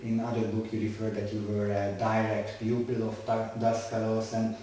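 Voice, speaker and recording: Male interviewer, hesitant delivery, low pitch, roomy distant sound. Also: completely flat delivery, dark tone. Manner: halting, hesitant, many uhs. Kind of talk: interview questions. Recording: echoey room from far off.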